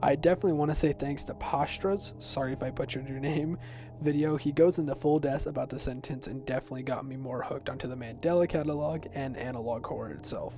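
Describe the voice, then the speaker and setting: A man's voice: medium pitch, steady tone, fast talker. A young man narrating an explainer video essay in an informal, personal way. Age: teens to young adult. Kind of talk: semi-scripted explainer narration. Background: background music.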